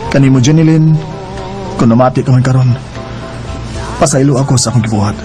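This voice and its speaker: An actor with a Cebuano Filipino accent playing a remorseful, unfaithful husband in a radio drama, hesitant and emotional. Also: male voice, mid-range voice, close to an everyday voice. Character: remorseful unfaithful husband and father, pleading, struggling for money. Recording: radio drama with music underneath.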